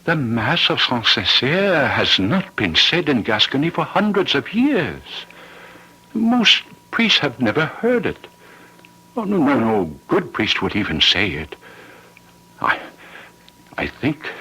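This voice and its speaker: An actor playing a fussy, stern village priest, husky and hesitant, stammering and admonishing in radio drama style. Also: male voice, mid-range voice, big pitch swings, slow pace, sharp tone, exaggerated voice, rough recording. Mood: shocked. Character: fussy, stern village priest hearing confession, easily flustered. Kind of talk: old-time radio drama acting.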